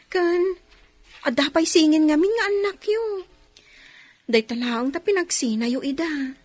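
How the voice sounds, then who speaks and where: A woman's voice: high-pitched, a little slow, darkish tone, dry recording. A woman playing a folksy, loyal household nanny in an Ilocano radio drama, exclaiming expressively with an Ilocano accent. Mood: teasing concern. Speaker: voice actor in radio comedy drama.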